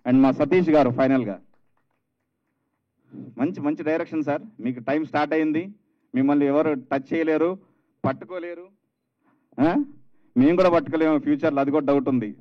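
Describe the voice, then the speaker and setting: A man giving an informal, earnest speech at an event, halting and repeating phrases in a dull recording. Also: on the high side. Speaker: guest speaker at a film launch.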